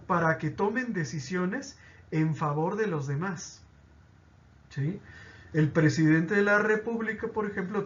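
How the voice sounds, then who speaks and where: A man's voice: high-pitched, fairly bright tone, lo-fi sound. A man teaching a pastoral catechism lesson, explaining with examples and often checking in with "¿sí?".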